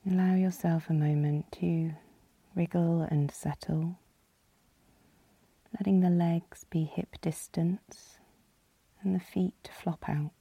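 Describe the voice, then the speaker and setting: A woman leading a guided yoga nidra relaxation as the guide, calm and soothing, with a soft, breathy, husky voice. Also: on the low side, slow pace, long pauses, no echo. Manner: calm, soothing, gently instructing.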